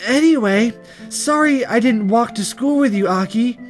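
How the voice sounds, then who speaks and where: A man's voice: extremely high pitch, normal speed, soft background music, voice pushed up. A man voicing a bubbly, chatty, airheaded teenage schoolgirl while reading a visual novel aloud. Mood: chatty, apologetic. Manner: gushing, chatty.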